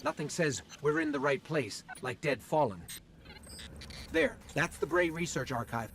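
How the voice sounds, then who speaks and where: Higher-pitched curious little robot companion. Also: male voice, a little slow, fairly bright tone, a little hiss or hum. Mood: wry, curious. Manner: inquisitive, quippy. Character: small chirpy robot companion, curious, wry, eager. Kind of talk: light video game acting. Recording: music behind the voice. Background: music.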